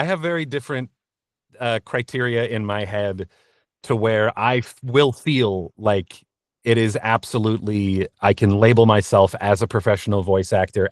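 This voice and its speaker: A man answering interview questions candidly as a voice actor, in an earnest, reflective and halting conversational manner. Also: lowish voice, no echo, clean studio sound. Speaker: interview guest, voice actor and narrator.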